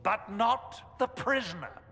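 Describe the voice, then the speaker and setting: A man's voice: high-pitched, lively intonation, slow, sharp tone, heavily acted. An actor playing a haughty, accusing male church official in a fantasy game, pompous and indignant. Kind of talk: dramatic fantasy game acting. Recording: long hall reverb.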